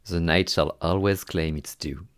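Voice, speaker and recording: A man's voice: low-pitched, fairly even intonation, a little slow, slight background noise. A man narrating a horror game playthrough from a script, moving between dramatic storytelling and wry, exasperated asides. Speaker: video game reviewer and narrator.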